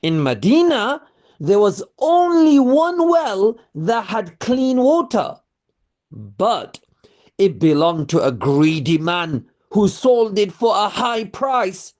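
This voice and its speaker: Slow, expressive children's storyteller. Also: medium pitch. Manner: expressive, asks listeners rhetorical questions. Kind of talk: scripted children's religious storytelling.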